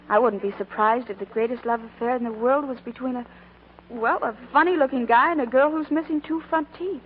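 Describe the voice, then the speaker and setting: A woman's voice: high voice, fairly quick, slight background noise, close to an everyday voice. An actress playing an earnest, plainspoken young working woman in love, with an American accent, in 1940s radio style. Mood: wistful, sincere. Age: young adult. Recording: muffled old radio broadcast with music.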